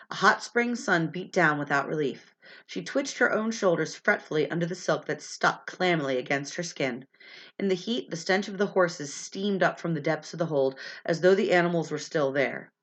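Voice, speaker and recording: Female storyteller, lowish congested voice, read-aloud delivery, dull lo-fi recording. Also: fairly bright tone. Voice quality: stuffy, congested. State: sinus congestion from allergies. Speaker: reader of an audiobook chapter. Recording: dull, lo-fi sound.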